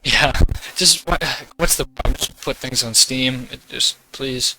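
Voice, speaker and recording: A man's voice: medium pitch, a little slow, rough recording. A young man hosting a gaming podcast, chatting casually and laughing easily between jokes. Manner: laughs easily, relaxed joking.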